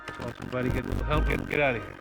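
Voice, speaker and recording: Panicky american man on the run in a game, talks fast, swings all over, stammery, loud music. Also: mid-range voice, wildly swooping pitch, gravelly, full character voice. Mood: anxious, pleading. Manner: agitated, stammering repeats. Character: jittery, panicked male buddy on the run. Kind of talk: video game cutscene acting.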